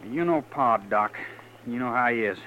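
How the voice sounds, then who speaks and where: A man's voice: mid-range voice, lively intonation, normal speed, phone-quality sound, natural voice. Guy doing a young country fella, folksy n earnest, mid voice, bit of old radio noise. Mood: worried. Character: plain, earnest young rural frontier son. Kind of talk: 1950s western radio drama acting. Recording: noisy old radio recording.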